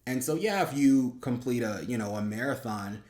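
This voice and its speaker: A man's voice: mid-range voice, normal speed, a little mellow. A young man giving earnest, reflective faith-based commentary in a conversational, explanatory way, as the host of a review video. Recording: roomy, echoing sound.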